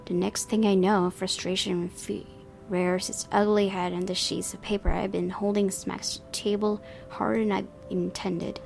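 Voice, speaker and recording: A woman's voice: mid-range voice, flat delivery, normal speed, vocal fry, darkish tone, faint music behind. A woman hosting a gaming video, reading visual novel narration aloud and commenting on it.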